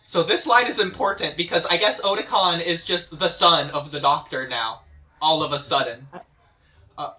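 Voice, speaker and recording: An excitable, expressive man casually directing a script read-through and explaining lines as reader. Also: a little high, moderate pace.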